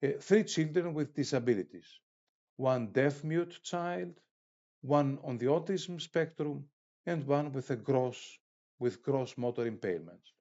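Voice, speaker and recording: A man giving a formal instructional lecture in a halting, hesitant way, with pauses mid-phrase. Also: mid-range voice, animated, darkish tone, highs cut off. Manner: formal, academic wording. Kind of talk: instructional lecture, explaining step by step.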